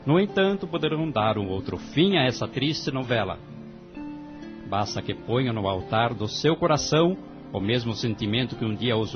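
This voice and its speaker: A Brazilian man playing a calm, wise family-court judge in an audio drama, speaking in a formal, measured, moralizing way. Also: mid-range voice, lightly acted. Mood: solemn, encouraging. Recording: dull lo-fi audio, music underneath.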